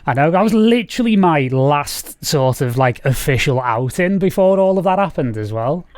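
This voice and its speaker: A man's voice: a little high, animated, normal speed, darkish tone, no room sound. A man in his thirties with a Scouse accent, hosting a casual video-call interview from a room at home and rambling with many fillers. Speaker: podcast host. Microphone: studio mic on boom arm, video call.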